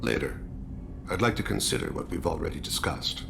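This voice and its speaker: A man voicing a terse, solemn, reserved alien in a video game, with a deep croaking voice. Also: fairly quick, background noise, heavily acted. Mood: reserved, calm. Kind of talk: video game dramatic dialogue.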